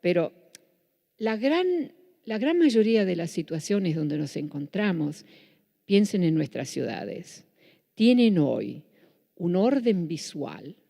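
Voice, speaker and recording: A woman's voice: on the low side, sing-song, takes it slowly, creaky, warm tone. A Dutch-born woman with a Buenos Aires Spanish accent giving a public lecture, restarting and correcting herself often. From Netherlands. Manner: self-correcting, with frequent restarts. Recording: reverberant hall.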